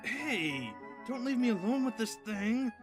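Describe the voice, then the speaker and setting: A man's voice: extremely high pitch, very animated, a little slow, exaggerated voice. A man playing a mild-mannered, awkward young hero with a hesitant manner in a radio drama. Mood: flustered. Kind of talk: fan radio drama acting. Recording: loud background music.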